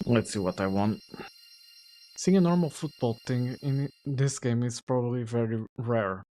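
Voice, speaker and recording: A man streaming a video game, chatting casually to viewers in a relaxed, rambling way full of fillers. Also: medium pitch, measured pace, soft background music, rough recording. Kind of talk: casual gameplay commentary.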